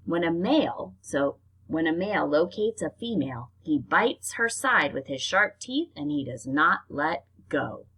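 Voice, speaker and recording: A woman reads nonfiction aloud in an expressive, informal way, like reading a book to children. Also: low voice, unhurried, hardly any pauses, breathy edge. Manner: expressive, informal reactions between passages. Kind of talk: read-aloud narration with casual spoken asides.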